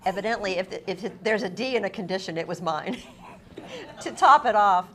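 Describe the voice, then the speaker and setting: A woman's voice: mid-range voice, moderate pace, fairly bright tone. A woman interviewing a guest in an effusive, affirming way, sometimes reading aloud from a book. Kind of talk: on-stage interview with read-aloud book passages. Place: busy convention event room. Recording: clearly noisy live event room recording.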